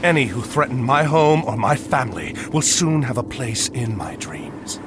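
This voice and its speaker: Man playing a proud, expressive veteran admiral. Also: mid-range voice, moderate pace, over music, lightly acted. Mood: weary. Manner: firm, commanding, dignified. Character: proud, battle-weary veteran admiral hero of ancient myth. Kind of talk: video game cutscene acting.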